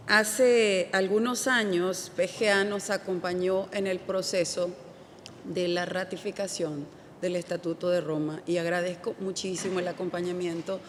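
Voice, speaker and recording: A woman from El Salvador, a parliamentary delegate, giving a measured, grateful formal intervention at a conference. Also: lowish voice. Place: large conference hall. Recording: echoey hall, faint noise.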